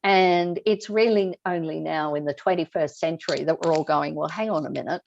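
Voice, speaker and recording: A woman's voice: deep voice, a little fast, vocal fry, slight background noise. A middle-aged woman hosting a conversation, talking things through reflectively and asking her guest questions. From Australia. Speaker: host. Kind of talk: conversational discussion. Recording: slightly dull lo-fi audio.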